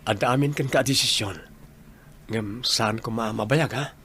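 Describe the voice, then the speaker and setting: A man's voice: mid-range voice, moderate pace, fairly bright tone, a little hiss or hum, natural voice. A man with an Ilocano accent acting a loyal, eager crew worker in a radio drama. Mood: impatient, tender.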